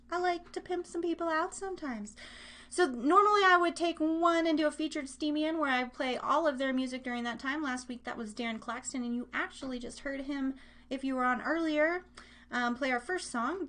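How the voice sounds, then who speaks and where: A woman's voice: high-pitched, fairly bright tone. A woman hosting a live online music show in a casual, chatty, gushing way. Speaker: radio show host.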